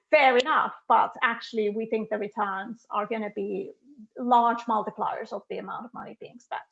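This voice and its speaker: A woman's voice: on the high side, vocal fry, highs cut off. A middle-aged woman from Finland, a guest economist answering questions in an online talk, speaking emphatically and thoughtfully with frequent "you know" fillers.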